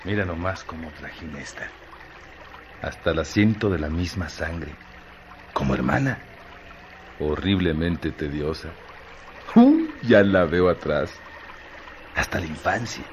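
An actor playing a bored, scornful, domineering young Mexican rancher in a radio drama, with colloquial, brooding speech. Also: male voice, deep voice, unhurried, long pauses. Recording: clearly noisy radio drama track.

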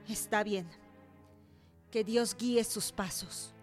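A woman's voice: on the high side, steady tone, extremely slow, soft background music. An actress playing a passionate, indignant young woman conspirator in a dramatic, earnest radio drama style. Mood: resigned, solemn.

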